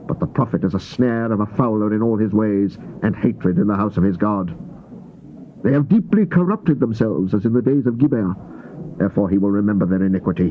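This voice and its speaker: An actor playing a stern Old Testament prophet, solemnly declaiming scripture in a dramatized Bible reading. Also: male voice, medium pitch, narrow, tinny audio, a little hiss or hum, natural voice. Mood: stern warning. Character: stern male Old Testament prophet proclaiming God's judgment. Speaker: scripture reader.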